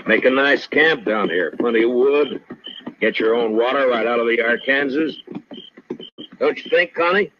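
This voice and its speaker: An American actor playing a calm, watchful frontier marshal in an old-time radio western, speaking in a measured, probing way. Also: male voice, mid-range voice, close to an everyday voice. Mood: casual, guarded. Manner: measured, probing, gently persuasive. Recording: muffled, noisy old radio recording.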